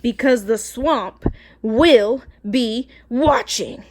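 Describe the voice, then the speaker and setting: A woman voicing a stern, bossy, witch-like substitute teacher in a storybook, slow and commanding, with a scratchy voice. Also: fairly high pitch, darkish tone. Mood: sly, commanding.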